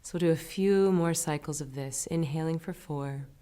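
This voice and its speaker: A woman leading a guided meditation as an instructor, speaking in a gentle, soothing and unhurried way. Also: low voice, clean tone.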